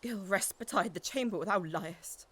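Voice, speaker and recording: A woman's voice: mid-range voice, normal speed, a bit bright, slightly rough. A woman playing a grieving, defiant noble widow in a Shakespearean audio drama, with declamatory, emotional delivery. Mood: bitter scorn.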